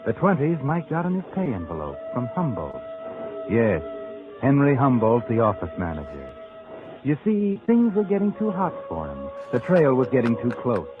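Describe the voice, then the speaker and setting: An actor playing a sardonic, knowing narrator of suspense tales in 1940s radio style, confiding and teasing dramatically. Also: male voice, medium pitch, huge pitch swings, normal speed. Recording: muffled vintage radio recording over loud music.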